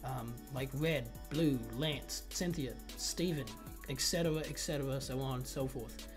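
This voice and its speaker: Man, medium pitch, informal rambling delivery, slight speech impediment, casual speculative gaming commentary, music playing underneath. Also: moderate pace. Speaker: gaming video creator. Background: background music.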